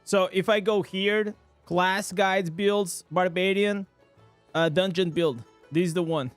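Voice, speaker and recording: A man with a high voice for a man streams a game live, narrating his play in English. He bursts into excited reactions over loot and chats casually with his viewers. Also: takes it slowly, no room sound, faint music behind. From Portugal. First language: Portuguese. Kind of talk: live gameplay commentary.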